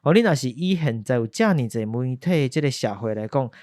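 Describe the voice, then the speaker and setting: A man's voice: medium pitch, animated, brisk, darkish tone, no room sound, clean studio sound. A man with a Taiwanese accent hosting a podcast, telling history stories in a casual, explanatory chat full of tag particles and repeated phrases. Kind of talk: casual storytelling chat with a co-host.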